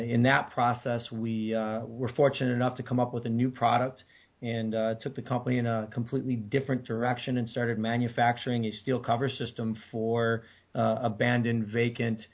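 A middle-aged man from Detroit, hoarse and scratchy from a cold, answering interview questions with many fillers. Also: medium pitch, normal speed. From Detroit, Michigan. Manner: relaxed, many uh fillers and restarts. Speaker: guest business owner. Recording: dull lo-fi remote audio.

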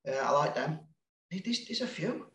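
A man with a broad Sheffield accent talks in a loose, rambling way, restarting sentences and laughing at himself. His voice sits a little high for a man, and the room gives it a noticeable echo. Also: big pitch swings, normal speed, a bit bright. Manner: rambling, self-deprecating, laughs a lot, many restarts. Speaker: guest, music label founder. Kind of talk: casual podcast chat.

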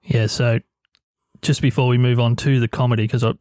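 Australian podcast host in a studio. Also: male voice, mid-range voice, little pitch movement, fairly quick, mellow, no room sound. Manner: friendly, a bit rambling, self-deprecating. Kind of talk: interview chat. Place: radio studio. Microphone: studio mic.

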